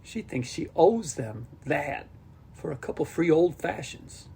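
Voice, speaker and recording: A man's voice: on the high side, very animated, unhurried, vocal fry, dark tone, breathy edge, voice pushed up, exaggerated voice. A man voicing a blunt, caring but exasperated boy with a colloquial, sardonic delivery in an audiobook. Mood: bitter. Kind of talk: audiobook character dialogue.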